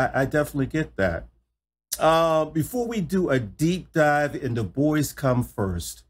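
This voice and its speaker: A man's voice: mid-range voice, slightly rough. A middle-aged man hosting a podcast interview, exuberant and folksy, repeating himself and calling his listeners y'all. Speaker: podcast host. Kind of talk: enthusiastic interview chat.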